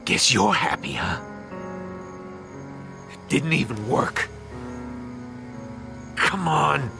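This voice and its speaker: A man voicing a bitter, grieving raccoon creature in a video game, gravelly and emotionally raw. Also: medium pitch, very animated, very slow, exaggerated voice. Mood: bitter, hurt. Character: bitter, grieving small male raccoon creature, gruff and wounded. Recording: loud music behind the voice.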